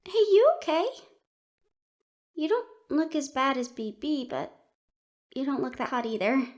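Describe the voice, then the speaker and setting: A woman playing a lively, eager young fighter in a fantasy audio roleplay, playful and expressive with a touch of anxiety. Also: high-pitched, measured pace. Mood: teasing.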